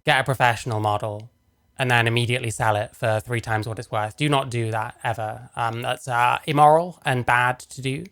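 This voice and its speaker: A man's voice: medium pitch, fairly even intonation, a little fast, a bit bright, clear, resonant tone. A man doing casual live stream commentary, talking in a rambling, sarcastic, sweary way. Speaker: streamer reacting to a video.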